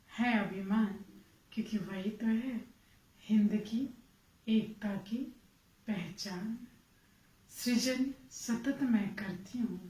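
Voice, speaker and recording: A woman from Bengal hosting an event, introducing speakers in slow rhyming verse. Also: mid-range voice, dark tone. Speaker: event host. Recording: roomy sound from a distance.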